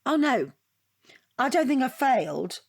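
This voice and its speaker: An actress playing an opinionated, defensive art student in a comic audio drama, frank and matter-of-fact. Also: female voice, high-pitched, big pitch swings, unhurried, vocal fry, no room sound, studio quality, heavily acted. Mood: earnest, defensive. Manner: rambling, matter-of-fact, self-justifying.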